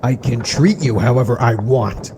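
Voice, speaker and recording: A man voicing a gruff, bullying pony carriage driver in a horror audio drama, brusque and contemptuous. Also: mid-range voice, normal speed, noisy background, natural voice. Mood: angry, contemptuous.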